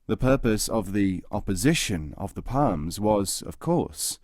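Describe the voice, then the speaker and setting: A man narrating scripted tales as an audiobook reader, in a measured, articulate storytelling manner. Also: on the low side, animated, darkish tone, dry recording, studio quality.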